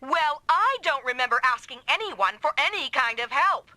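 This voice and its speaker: An actress voicing a proud, sharp-tongued woman mech pilot in an anime-style video game, bossy, taunting and combative. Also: high-pitched, lively intonation, moderate pace, noisy background. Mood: teasing, scornful. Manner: brash, taunting, commanding.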